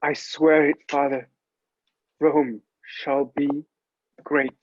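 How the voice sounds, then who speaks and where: A man's voice: a little high, flat delivery, takes it slowly, no room sound, muffled recording. A man playing an intense, impatient Roman emperor's son in a drama table read, with earnest, theatrical delivery. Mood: earnest, grieving.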